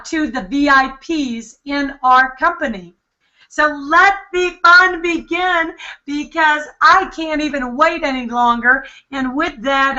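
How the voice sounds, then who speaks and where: A middle-aged woman pitches a business plan with eager, salesy enthusiasm. Her voice sits high and sounds bright and sharp. The sound is dull and lo-fi, as on a video call. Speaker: host. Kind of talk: sales webinar presentation. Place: home office.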